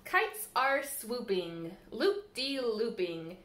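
A woman's voice: on the high side, big pitch swings, very slow, fairly bright tone, a little hiss or hum. A woman in her thirties reading a children's picture book aloud in a sing-song way, talking directly to young kids as a library storyteller. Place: small room indoors by a window, seated. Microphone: camera mic a short distance away. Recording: roomy echo from camera mic.

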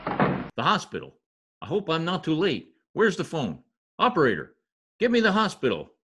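A man's voice: on the high side, wildly swooping pitch, moderate pace, fairly bright tone, slightly rough, full character voice. A middle-aged man playing an anxious, pompous, theatrical husband in old-time radio comedy style. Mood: frantic. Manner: dramatic, exclaiming. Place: home office, over video call. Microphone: video call computer mic.

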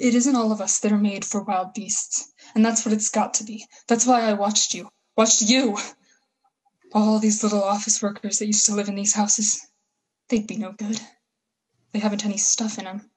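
Husky, scheming survivor soldier, played by a woman. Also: medium pitch, a little mellow, natural voice, poor audio. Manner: folksy, slangy, halting emphatic phrases. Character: bitter, scheming survivor soldier with grand mad plans. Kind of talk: 1930s-style radio play acting.

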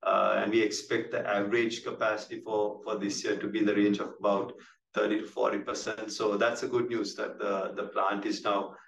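A man with a Sri Lankan accent answering questions as a company executive on an earnings call, halting and measured, often repeating words. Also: on the low side, little pitch movement. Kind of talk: business presentation, answering questions. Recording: roomy, distant mic with clear background noise.

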